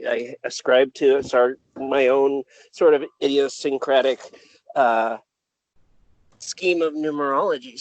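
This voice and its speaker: A man introducing himself on a video call in a measured, relaxed way. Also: medium pitch, no echo, low-quality recording. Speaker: guest.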